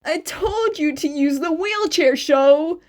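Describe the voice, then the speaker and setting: A woman voicing an excitable, worried, caring family man in a story, with emphatic delivery. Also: high voice, takes it slowly, voice pushed up. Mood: exasperated worry.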